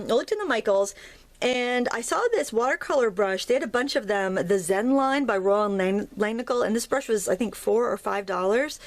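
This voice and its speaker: A hoarse woman in her thirties, chatting casually and rambling a little as she shows off craft supplies in her home studio. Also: medium pitch. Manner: chatty, rambling, friendly asides. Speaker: craft video host. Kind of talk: casual haul chat.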